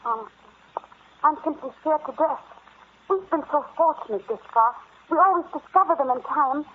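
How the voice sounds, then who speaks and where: An actress in an old-time radio drama playing a serious, frightened explorer's wife, speaking in an earnest, pleading, dramatic way. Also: female voice, high-pitched, lively intonation, moderate pace. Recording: tinny, phone-like vintage radio recording.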